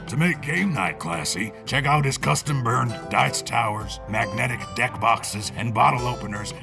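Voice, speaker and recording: Man, low voice, podcast ad read, promotional style, faint music behind. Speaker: podcast ad reader.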